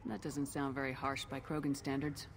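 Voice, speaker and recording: Game casting: confident military commander, direct, dry and wry, long reverb and faint noise around the voice. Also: female voice, a bass voice, little pitch movement, moderate pace. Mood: composed, wry. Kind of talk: video game dialogue.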